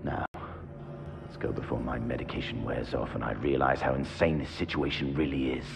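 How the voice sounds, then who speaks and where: An actor playing a grave, wary scientist in a video game, gravelly and articulate, with an ominous, deliberate delivery. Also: male voice, rumbling low, level tone, noisy background. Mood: wary, intense. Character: grave male scientist, wary asylum-held former monster. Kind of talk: scripted video game acting. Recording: music behind, at times echoey and distant.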